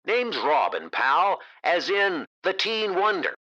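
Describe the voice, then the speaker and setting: Teen boy sidekick, played by a man: very bright, cheeky, wisecracking, band-limited sound. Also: high voice, very animated, takes it slowly, highs cut off, pitched above the performer's own voice, full character voice. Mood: mocking. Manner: cheeky, mocking. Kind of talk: comic dub acting.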